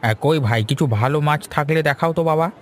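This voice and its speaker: A man's voice: on the high side, fast, a little hiss or hum, natural voice. A man voicing an ingratiating, over-polite doctor and uninvited guest in a Bengali cartoon, with a Bengali accent. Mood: assured. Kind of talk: cartoon voice acting.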